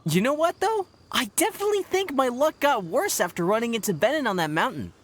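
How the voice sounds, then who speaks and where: A man's voice: very high voice, very animated, fairly quick, slight background noise. An actor playing an earnest, good-hearted young male adventurer in a video game. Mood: reassuring. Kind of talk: video game cutscene acting.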